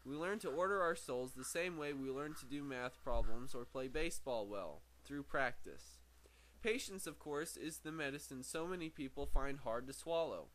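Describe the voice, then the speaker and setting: A man's voice: mid-range voice, animated, normal speed, fairly bright tone. Man reading aloud, stumbling over words, noisy background. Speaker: amateur audiobook reader. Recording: amateur recording with clear background noise.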